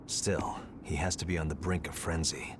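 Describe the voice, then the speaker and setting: Grave male game adviser, very low voice, deliberate, serious, clear background noise. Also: little pitch movement. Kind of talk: video game cutscene acting.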